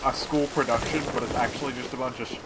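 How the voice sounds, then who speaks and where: Male game streamer, average pitch, casual off-the-cuff chatter with friends online. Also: fairly even intonation, fast, heavy background noise. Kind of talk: casual chat while playing. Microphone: online voice chat.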